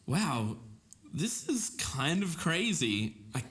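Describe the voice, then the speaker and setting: A man's voice: on the high side, sing-song, slow, fairly bright tone. A man co-hosting a podcast, chatting and reading a story aloud over music. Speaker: podcast co-host. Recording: voice over clear background music.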